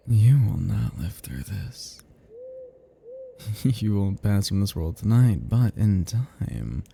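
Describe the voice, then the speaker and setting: Man playing a low, dark, possessive vampire. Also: gravelly, slight background noise, full character voice. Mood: brooding, menacing. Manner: slow, teasing, archaic turns of phrase. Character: possessive seductive male vampire, menacing yet teasing. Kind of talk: intimate ASMR roleplay acting.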